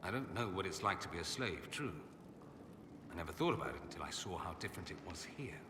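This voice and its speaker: An actor playing an urbane, witty, self-assured aristocrat mage in a video game, articulate, theatrical and sardonic. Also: male voice, sits low, expressive, fast talker. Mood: earnest, defensive. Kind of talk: video game dialogue acting. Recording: echoey hall with ambient noise.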